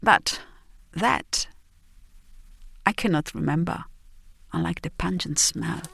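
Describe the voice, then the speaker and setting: A woman reading a literary first-person travel story, reflective and dramatic, with pauses between phrases. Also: lowish voice, gravelly, a bit bright, slightly rough, no echo, clean studio sound. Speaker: narrator.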